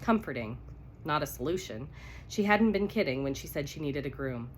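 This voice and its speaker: A woman's voice: low voice, lively intonation, normal speed, slight background noise. A woman with a cold reads romance fiction aloud in a casual, unedited way. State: congested with a cold. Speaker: live reader of romance novels. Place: at home by a fire.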